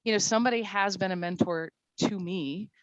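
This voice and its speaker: A woman's voice: medium pitch, brisk, no echo, lo-fi sound. Woman in her 40s from morocco on a panel, chatty, laughs a lot, dry close sound. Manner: chatty, laughs often, many fillers. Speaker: panelist, CISO. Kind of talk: panel discussion.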